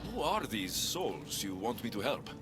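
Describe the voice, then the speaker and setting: An actor playing a bold, dry-witted ancient Greek mercenary hero in a video game, blunt, wry and confident. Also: male voice, on the low side, sing-song, measured pace, over music. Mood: determined, wry.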